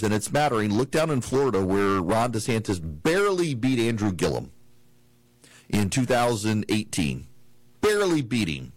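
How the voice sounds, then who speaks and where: A man from the American South hosts a political radio talk show in a loose, rambling monologue full of restarts and fillers. His voice is mid-pitched and recorded dry and close in a studio. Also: no room sound, rough recording.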